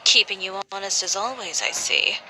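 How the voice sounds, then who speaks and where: Crisp, forceful, self-assured young woman. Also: mid-range voice, moderate pace, a little hiss or hum, rough recording. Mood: probing, dry. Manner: composed, dry, exacting. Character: cool, self-assured, stern young female boutique designer. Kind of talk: video game voice acting.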